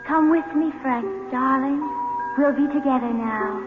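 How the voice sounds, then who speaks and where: A woman's voice: sits high, measured pace. An actress playing a ghostly woman, a coaxing dead sweetheart, in a melodramatic 1970s radio drama style. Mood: tender, luring. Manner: beckoning, melodramatic. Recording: dull 1970s radio broadcast, music behind.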